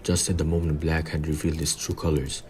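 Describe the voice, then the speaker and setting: A man playing a grave, pompous older government minister in an audio play, speaking in a measured, formal way. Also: extremely low pitch, level tone, darkish tone, a little hiss or hum.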